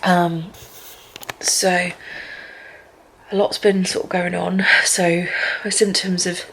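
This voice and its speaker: A woman talking in a personal video diary from her apartment, speaking in a weary, low-energy way. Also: flat delivery, takes it slowly, slight background noise, rough recording. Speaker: vlogger. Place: apartment at home.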